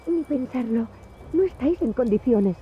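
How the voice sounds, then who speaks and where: A woman's voice: fairly high pitch, expressive, normal speed, dull audio, a little hiss or hum, natural voice. A woman playing a caring but firm healer in a video game. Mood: concerned, firm. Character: caring, firm female healer tending the wounded. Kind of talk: dubbed video game cutscene acting.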